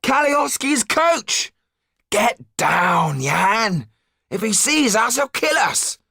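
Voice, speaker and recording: A man voicing a huge, fierce man who shouts urgently in a dramatic audiobook. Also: high-pitched, big pitch swings, slow, vocal fry, breathy edge, dry recording, studio quality, pitched above the performer's own voice, exaggerated voice. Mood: alarmed.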